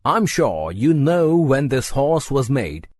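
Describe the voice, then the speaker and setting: A woman reads a story and gives a polite foreign businessman a lowered, slow voice. He is courteous and coaxing, with a husky, dry sound. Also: big pitch swings, darkish tone, no room sound, full character voice.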